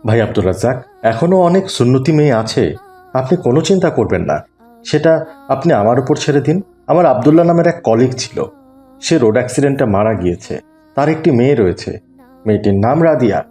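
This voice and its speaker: A man's voice: mid-range voice, lively intonation, brisk, warm tone, lightly acted. A man playing an earnest, persuasive middle-aged family friend in a cartoon, speaking courteously. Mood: earnest, reassuring. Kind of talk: cartoon dialogue.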